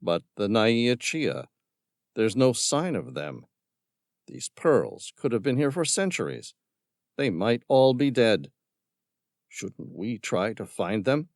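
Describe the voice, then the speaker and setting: An earnest young adventurer, indignant and sincere, read by a man in close to his own voice. The delivery is slow, with expressive rises and falls. The recording is close and dry. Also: mid-range voice, no room sound, voice pushed up. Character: earnest young male adventurer defying a villain.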